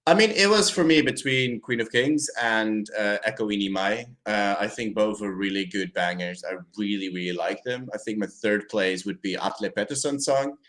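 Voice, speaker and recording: A man's voice: on the low side, moderate pace, hardly any pauses, fairly bright tone. Rambling, hedging man hosting a chat. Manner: rambling, hedging with "like" and "I don't know". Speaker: host. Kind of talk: casual chat.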